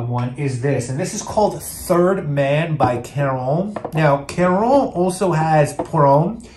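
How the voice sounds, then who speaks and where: A man talks through fragrances in an easygoing, rambling way, full of fillers and restarts. His voice sits a bit high. The room around him is echoey, with a faint hum of background noise. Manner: chatty, hesitant, many fillers and restarts. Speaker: fragrance reviewer. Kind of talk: casual product review.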